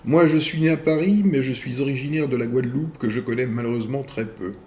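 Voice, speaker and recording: A man hosting a video channel. He reads viewer messages aloud and answers them in a deliberate way, in short phrases with pauses. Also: medium pitch, a little mellow, a little hiss or hum.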